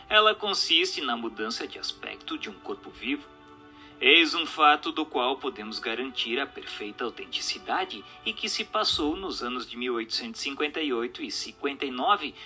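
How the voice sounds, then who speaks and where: A man reading a book aloud as narrator, in a formal, measured, didactic way. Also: fairly high pitch, sharp tone. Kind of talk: scripted narration, audiobook reading.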